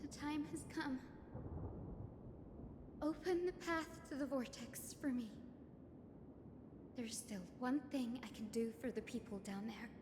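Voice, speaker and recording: A woman playing a gentle, selfless young healer in a game, speaking in an earnest, measured and formal way. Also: high voice, big-room sound, noisy background. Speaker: game character voice actor. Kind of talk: scripted game dialogue.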